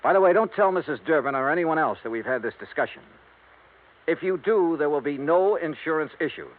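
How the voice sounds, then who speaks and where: An actor playing a hard-boiled, wry insurance investigator in a 1950s radio detective drama, blunt and pressing. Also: male voice, on the high side, animated, brisk, close to an everyday voice. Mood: probing, firm. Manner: blunt, pressing questioner, dry wry narrator. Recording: tinny, hissy 1950s radio recording.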